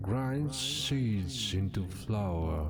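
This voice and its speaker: Male actor as solemn sun deity for game narration, very slow, grand storytelling, music underneath. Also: fairly low pitch, expressive.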